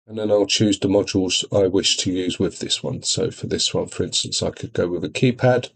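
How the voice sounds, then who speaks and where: Male product demonstrator, lowish voice, informal step-by-step tutorial delivery. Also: vocal fry. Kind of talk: software tutorial walkthrough.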